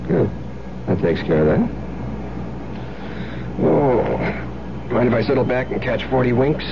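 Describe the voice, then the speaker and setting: An actor playing a murderous husband in a radio drama, coaxing and casually controlling. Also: male voice, mid-range voice, very animated, measured pace, gravelly. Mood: smooth, coaxing. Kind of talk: old radio drama acting. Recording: dull radio recording, loud cabin noise.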